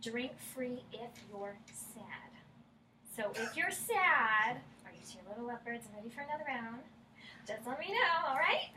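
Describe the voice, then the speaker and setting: Woman acting chatty waitress, bright, echoey. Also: fairly high pitch, sing-song, moderate pace. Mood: breezy. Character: chatty, busy bar waitress pushing drink specials. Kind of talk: staged play reading. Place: indoor room at a staged reading. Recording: echoey room, distant mic, faint noise.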